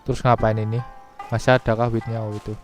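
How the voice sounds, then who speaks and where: An Indonesian man streams a game and talks casually over it. His pitch stays fairly flat while he tosses out crude, teasing banter, with soft music behind him. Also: racing. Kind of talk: casual live gameplay commentary and chat.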